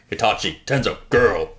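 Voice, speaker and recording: A man's voice: on the low side, expressive, a little slow, fairly bright tone. A man giving casual director's commentary on a fan parody, informal and self-deprecating, with some swearing. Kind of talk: casual commentary over an animated episode.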